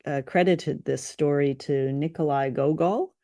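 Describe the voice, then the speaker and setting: A woman's voice: deep voice, unhurried, dark tone, no room sound, clean studio sound. A woman co-hosting a show, chatting casually and appreciatively about stories, with some hesitant phrasing. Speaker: co-host of an old-time radio drama show.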